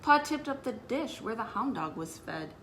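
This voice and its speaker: A woman's voice: fairly high pitch, big pitch swings, moderate pace, fairly bright tone, a little hiss or hum. A woman speaking as a children's librarian, hosting story time with announcements and read-aloud for young listeners.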